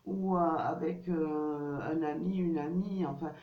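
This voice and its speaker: A woman's voice: sits low, fairly even intonation, unhurried. A woman with a cold giving a casual tarot card reading, hesitant and full of fillers and restarts. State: has a cold. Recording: distant, dull, roomy home recording.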